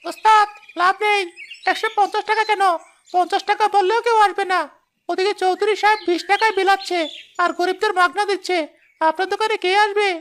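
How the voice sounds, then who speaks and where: A woman's voice: very high voice, steady tone, a little fast, fairly bright tone. A woman voicing a young shop helper in a cartoon who talks back to his boss in a blunt, complaining way. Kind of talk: cartoon voice acting.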